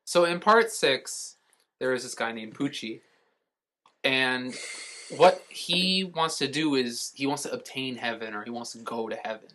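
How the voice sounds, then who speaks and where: Bright-voiced man chatting casually. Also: mid-range voice, unhurried, a little hiss or hum. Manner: rambling, explaining, swears casually. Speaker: podcast co-host. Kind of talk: casual chat.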